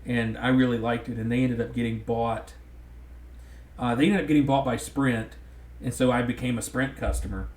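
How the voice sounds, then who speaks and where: A man's voice: mid-range voice, steady tone, moderate pace, darkish tone. A man with a thick Southern drawl, rambling in casual podcast chat with frequent restarts. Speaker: podcast co-host. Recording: roomy echo.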